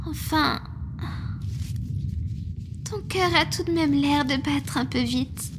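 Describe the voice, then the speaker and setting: A woman voicing a protective, devoted monster medic in a roleplay ASMR, speaking in a soft, attentive, earnest way. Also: sits high, takes it slowly, background noise. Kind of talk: French roleplay ASMR, intimate acting.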